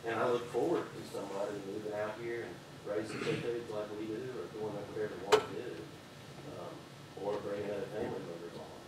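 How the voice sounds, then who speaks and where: A man's voice: on the low side, steady tone, fast talker. A man giving earnest, rambling public comment at a planning hearing, recorded from afar in a large meeting room. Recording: echoey room, far mic, noisy.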